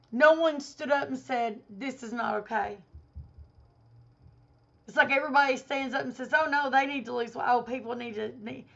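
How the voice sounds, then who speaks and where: A woman vlogger airs an emphatic, indignant opinion straight to camera. Her voice is hoarse and gravelly from a cold, sitting a little high. The audio is dull and lo-fi. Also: fairly bright tone.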